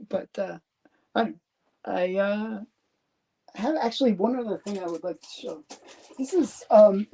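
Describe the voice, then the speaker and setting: A Canadian woman with a Canadian accent hosting a live stream, talking in a rambling, halting way with lots of fillers and restarts. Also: medium pitch, mellow. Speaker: comic collector host. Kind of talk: casual live stream show-and-tell.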